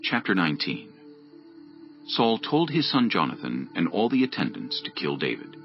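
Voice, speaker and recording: Very deep gravelly male scripture narrator. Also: wildly swooping pitch, normal speed, dull audio, faint music behind. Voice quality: gravelly, husky.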